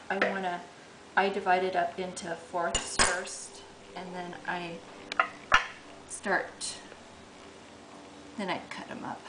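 A woman's voice: medium pitch, heavy background noise. A middle-aged woman hosting a casual cooking demonstration in a home kitchen, chatty and upbeat as she works. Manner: chatty, upbeat, talks while working dough. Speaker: cooking show host. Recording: echoey kitchen with clatter.

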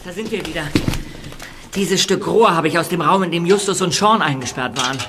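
An actor playing a young detective who talks practically and casually. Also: male voice, on the high side, a little fast, noisy background, close to an everyday voice. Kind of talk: radio drama acting.

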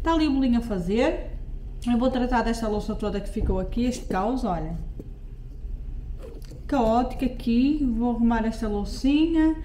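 A woman's voice: a little high, animated, normal speed, long pauses, dark tone, a little hiss or hum. Chatty Portuguese woman in an echoey kitchen. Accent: European Portuguese. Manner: chatty, talks to viewers while working, often corrects herself. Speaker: lifestyle vlogger. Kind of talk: casual cooking vlog chat. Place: home kitchen.

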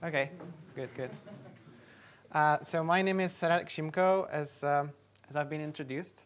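A man's voice: on the high side, moderate pace, slight background noise. A young man from the Czech Republic, speaking as a native Czech speaker, gives a tech talk on stage. He stops often to quiz the audience. His voice comes through a handheld mic in a large lecture hall. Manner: quizzing the audience. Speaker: conference speaker.

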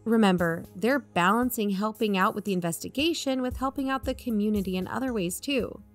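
Woman acting as assured lady podcast investigator, persuasive n probing, audio drama, medium pitch, faint music under. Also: brisk, natural voice. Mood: reassuring. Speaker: fictional podcast co-host. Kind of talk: conversational audio drama dialogue.

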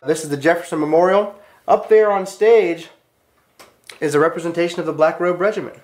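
A man's voice: a little high, a little fast. An American man teaching a class, giving a storytelling lecture in an earnest, emphatic manner with short declarative sentences.

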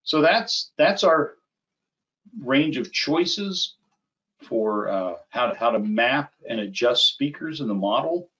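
A middle-aged man giving a conversational, step-by-step software demo as a webinar presenter. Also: medium pitch, lively intonation. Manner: conversational, unhurried step-by-step explaining. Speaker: webinar presenter, applications engineer. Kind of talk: live software demo, explanatory. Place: small indoor room at a computer. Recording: slightly dull, band-limited webinar audio.

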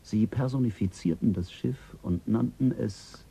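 An actor playing a calm, principled male psychologist in a German radio drama, speaking in a measured, earnest way. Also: fairly low pitch, gravelly, slightly rough, exaggerated voice.

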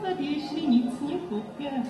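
A woman's voice: high voice, animated, unhurried, music in the background. A woman playing a fashion-loving, chiding 1920s society wife in a radio play, affected and coquettish. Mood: playful chiding. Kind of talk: radio play read on stage. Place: large theatre stage. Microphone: studio condenser on stand. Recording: echoey noisy stage, distant.

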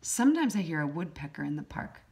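A woman reading a children's picture book aloud as a teacher, in simple, deliberate short phrases. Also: low-pitched, sing-song, gravelly, a little mellow. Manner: acts out sound effects. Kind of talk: children's storybook read-aloud.

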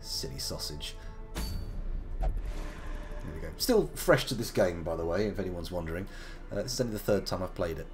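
A man in his thirties, gravelly from a slight cold, chatting playfully through a live game stream. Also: deep voice, animated, moderate pace, pauses often, soft background music. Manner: chatty, playful, wandering asides. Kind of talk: casual live game commentary.

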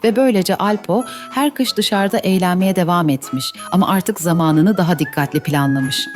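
A woman's voice: on the low side, normal speed, soft background music. A woman narrating a scripted children's story as the storyteller. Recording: faint background noise.

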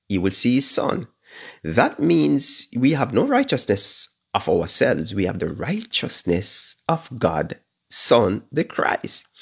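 A man's voice: medium pitch, vocal fry, husky. A man in his fifties with a Jamaican accent, preaching a livestream Bible study from his living room. He talks fervently, repeats phrases and draws out stressed words. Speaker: pastor. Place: living room at home, indoors. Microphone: phone camera at arm's length.

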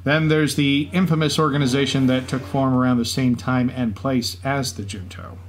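A man's voice: mid-range voice, steady tone, moderate pace, lightly acted. A man co-hosting a podcast, telling stories in light scripted banter. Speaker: podcast co-host. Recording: clear background noise and ambience.

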